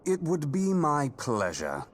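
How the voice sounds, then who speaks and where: A man's voice: fairly high pitch, wildly swooping pitch, takes it slowly, exaggerated voice. An actor playing a suave, courtly male adventurer in a video game, eloquent and gallant. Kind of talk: video game cutscene acting.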